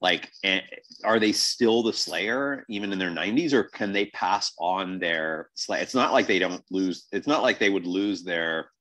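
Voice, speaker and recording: A man in a casual podcast discussion, thinking aloud in a halting, wordy, questioning way. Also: deep voice, fairly bright tone. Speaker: podcast host.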